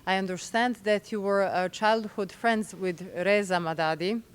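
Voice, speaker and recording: Woman interviewer, smooth clear voice, asks curious questions. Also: mid-range voice, fairly even intonation, normal speed.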